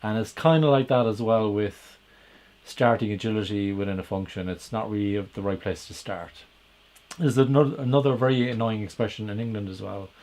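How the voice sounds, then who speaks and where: A man with an Irish accent talks through his ideas on his own in a fairly low voice. He stops often on "uh" and "um", restarts his phrases, and keeps an easy conversational tone. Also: fairly quick, darkish tone. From Ireland. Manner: hesitant, many "uh" fillers and restarts, conversational. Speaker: podcast host and agile coach. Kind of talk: solo explanatory talk.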